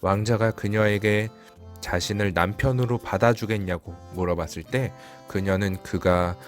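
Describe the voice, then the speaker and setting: A man's voice: fairly low pitch, normal speed, faint music behind. A man reading a fairy-tale storybook aloud as the narrator, in scripted storytelling style.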